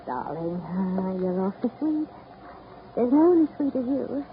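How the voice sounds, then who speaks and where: An actress playing a spoiled, restless divorced socialite in a 1930s radio drama, theatrical and cajoling, with snippy endearments. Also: female voice, on the high side, sing-song, measured pace. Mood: sweet, coaxing. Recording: noisy, tinny 1930s radio broadcast.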